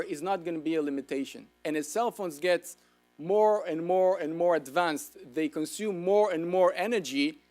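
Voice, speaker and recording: A man with an Israeli accent, lecturing an audience with enthusiasm and asking them questions. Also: on the high side. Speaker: speaker.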